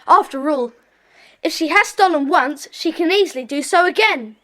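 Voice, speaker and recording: A woman playing a decisive, bossy schoolboy who leads child detectives in a children's audio drama, brisk and commanding. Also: high voice, animated, bright, forceful tone, dry recording. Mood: thoughtful.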